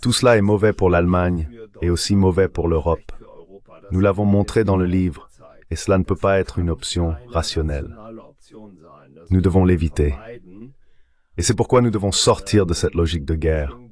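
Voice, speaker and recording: Very deep-voiced, opinionated male expert. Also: moderate pace, pauses often, a little mellow. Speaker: interview guest, military and policy expert. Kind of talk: political interview answers, dubbed translation.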